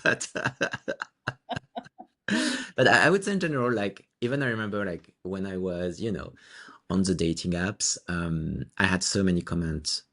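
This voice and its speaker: A man from France with a strong French accent, co-hosting a podcast and telling stories in a relaxed, chatty way with lots of restarts. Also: low-pitched, moderate pace, long pauses. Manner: animated storytelling with frequent restarts and laughs. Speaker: podcast co-host. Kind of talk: casual podcast chat.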